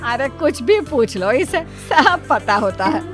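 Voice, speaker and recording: A woman's voice: sits high, sing-song, slow, over music, full character voice. A woman playing a fond, easily amazed older mother-in-law in a Hindi cartoon story, exclaiming and questioning. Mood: affectionate.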